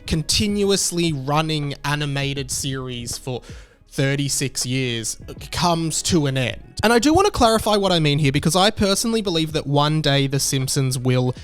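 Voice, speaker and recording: A man's voice: a little high, lively intonation, normal speed, smooth, soft background music. A man hosting a video, giving casual, conversational commentary. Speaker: YouTube host and reviewer.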